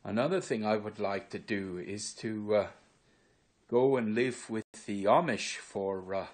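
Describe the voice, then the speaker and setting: A man's voice: on the low side, a little mellow. Rambling older man with Pennsylvania Dutch accent. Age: 60s. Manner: rambling, unhurried, many filler pauses. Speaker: vlogger. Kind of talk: casual walk-through monologue. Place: his own room indoors. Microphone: phone camera held by speaker.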